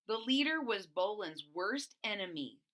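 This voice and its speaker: A woman reading a children's storybook aloud as host, expressive, with deliberate emphasis and explanatory asides. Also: mid-range voice.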